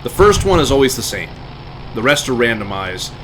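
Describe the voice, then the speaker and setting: Casting: easygoing casual male livestreamer, mid-range voice, talking over clear vehicle noise from a game. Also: mid-range voice, big pitch swings, normal speed. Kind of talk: casual live gameplay commentary. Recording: game engine noise behind voice. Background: game vehicle sounds.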